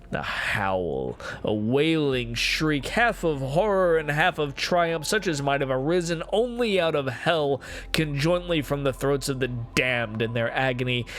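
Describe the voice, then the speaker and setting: A man playing an unhinged, gloating murderer confessing his crime in a theatrical, deliberate gothic horror reading. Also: mid-range voice, a bit bright. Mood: mounting horror. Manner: theatrical, ornate, deliberate phrasing with swelling emphasis.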